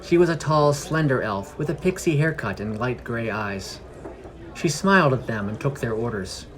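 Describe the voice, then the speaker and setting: A man reading a scripted fantasy story in a measured storytelling manner. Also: mid-range voice, animated, fairly bright tone, rough recording. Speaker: podcast narrator. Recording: music under the voice. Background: music.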